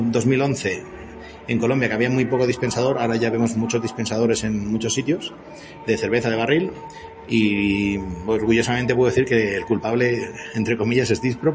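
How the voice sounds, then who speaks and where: A man's voice: medium pitch, background noise. A man in his fifties from Spain with a Spanish accent, explaining things conversationally as an interview guest in a wordy, hesitant manner. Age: 50s. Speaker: interview guest, company CEO.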